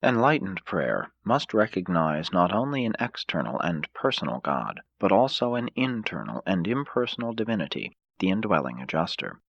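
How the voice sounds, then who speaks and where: A man reading a scripted audiobook in a formal, measured way. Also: low voice, very animated, no echo, muffled recording. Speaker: audiobook narrator.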